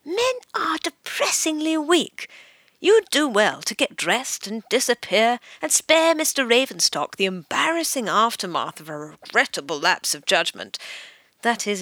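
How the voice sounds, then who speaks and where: Haughty, bright-voiced English society lady. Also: a little high, no echo, exaggerated voice. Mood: icy, haughty. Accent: upper-class English. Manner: clipped, condescending, formal. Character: haughty, cutting 1930s English society woman. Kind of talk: full-cast audio drama acting.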